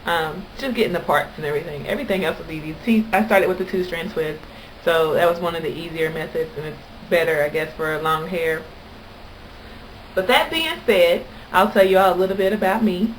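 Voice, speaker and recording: A woman's voice: on the low side, brisk, slight background noise, rough recording. A woman from Kentucky with an American accent, chatting casually and anecdotally to camera as a vlogger. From Kentucky, United States.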